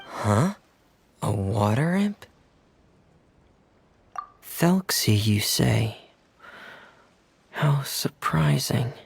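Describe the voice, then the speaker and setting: A man voicing a shy, reserved teenage boy in a video game, soft-spoken, polite and hesitant. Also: medium pitch, animated, drawn-out words, creaky, pauses often, slight background noise, exaggerated voice. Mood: reserved, explaining. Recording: faint music behind the voice.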